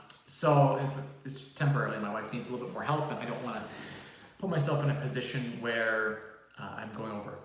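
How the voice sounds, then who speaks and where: A young man in his twenties talks quickly and casually to his viewers, answering questions with plenty of fillers. His voice sits at an ordinary middle pitch. It rings with heavy echo off the bare walls of an empty room, picked up from a phone several feet away. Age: 20s. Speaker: live stream host answering viewer questions. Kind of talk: casual live-stream Q&A. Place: large empty room, new home office. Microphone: phone mic about six feet away. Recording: echoey empty room, distant phone mic.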